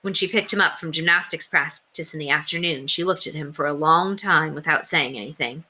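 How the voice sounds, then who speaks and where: A woman narrating a children's book aloud in an expressive read-aloud style. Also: deep voice, a little fast, husky. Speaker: storyteller reading aloud to children.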